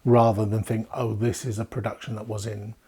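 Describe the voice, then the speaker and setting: A man's voice: lowish voice, little pitch movement, brisk, mellow, breathy edge. A middle-aged British man talking animatedly in an interview about his writing work, slipping into acted-out asides. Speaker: pantomime writer and actor.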